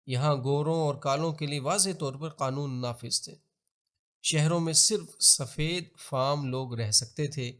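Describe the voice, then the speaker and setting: A man with a Pakistani accent reads scripted historical narration in a formal, measured, expository manner. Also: on the high side. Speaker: documentary narrator.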